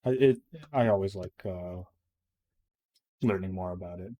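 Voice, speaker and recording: A man co-hosting a casual chat, agreeable and quick with short affirmations. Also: low voice, dark tone. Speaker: podcast co-host.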